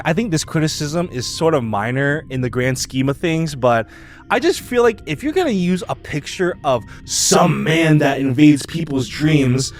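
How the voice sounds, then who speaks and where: A man giving casual, opinionated video commentary in an informal, slangy way that is loose with swearing. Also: fairly high pitch. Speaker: video essayist. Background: background music.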